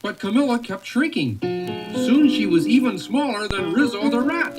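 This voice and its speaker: A woman reading a children's storybook aloud with expressive, animated narration. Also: fairly high pitch, normal speed, loud music behind the voice. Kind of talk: read-along story narration.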